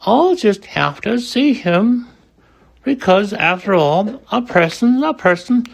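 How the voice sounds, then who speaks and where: A man voicing a gentle, protective male elephant, speaking politely, sincerely and expressively in a storybook reading. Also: high-pitched, lively intonation, takes it slowly, vocal fry, voice pushed up, heavily acted. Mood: kindly, curious.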